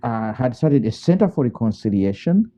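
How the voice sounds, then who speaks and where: A man from Uganda, a theologian and priest giving thoughtful interview answers, pausing and restarting and asking himself rhetorical questions. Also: medium pitch, sing-song, mellow. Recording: muffled lo-fi call audio.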